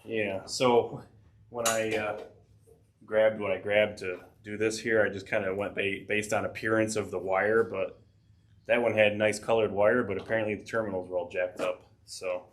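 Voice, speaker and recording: Male DIY channel host, head cold, lowish voice, casual rambling delivery, faint background noise. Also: flat delivery, moderate pace. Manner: rambling, lots of fillers, dry self-deprecating asides. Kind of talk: casual DIY workshop narration. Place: home workshop.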